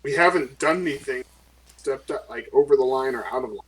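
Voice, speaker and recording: A man's voice: mid-range voice, fast talker, poor audio. A man playing a tabletop game, talking casually through the group's situation in character.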